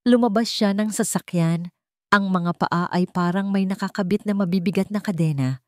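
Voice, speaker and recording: A woman's voice: medium pitch, normal speed, no room sound, studio quality. A woman reading a scripted dramatic story as a storyteller.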